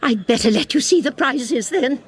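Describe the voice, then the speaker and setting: Husky, fussy, genteel lady. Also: sits high, animated, moderate pace, full character voice. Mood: apologetic, prying. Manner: polite, twittery, insistent, hesitant. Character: fussy, persistent, genteel lady selling raffle tickets. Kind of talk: radio comedy drama acting.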